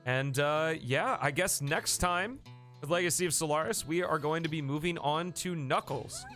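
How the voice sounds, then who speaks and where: A man's voice: fairly high pitch, normal speed, a bit bright, faint music behind. A man live-streaming a game, chatting casually and thanking viewers by name, a bit sniffly from a coming cold.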